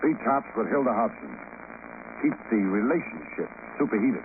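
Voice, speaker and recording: A man's voice: on the low side, sing-song, moderate pace. An American man playing a wry, unflappable private investigator who also narrates, in old-time radio detective style. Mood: pressing, cool. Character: wry hard-boiled male private investigator. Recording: noisy, narrow-band old radio recording.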